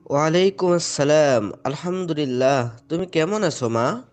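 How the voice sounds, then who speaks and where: A man's voice: a little high, lively intonation, moderate pace, close to an everyday voice. A man playing a devout father in an Islamic moral cartoon, speaking earnestly and patiently as he instructs his children. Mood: kindly. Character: devout, gently admonishing father of two.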